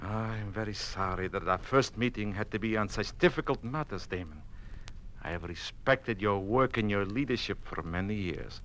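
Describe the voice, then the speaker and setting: An actor playing a smoothly menacing Soviet party envoy in an old radio drama, formal, condescending and commanding. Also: male voice, fairly low pitch, normal speed, a bit bright, slight background noise, lightly acted, poor audio. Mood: conciliatory.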